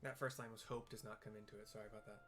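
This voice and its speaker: A man's voice: fairly low pitch, level tone, very fast, fairly bright tone. A man casually narrating a video game playthrough, describing the scene in an informal, explanatory way.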